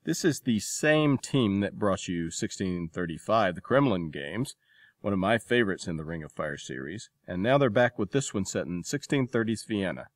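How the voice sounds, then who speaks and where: A man's voice: sits low, animated, moderate pace, no room sound. A man hosting a podcast, reading scripted announcements and introductions in an informal, enthusiastic manner. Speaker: podcast host.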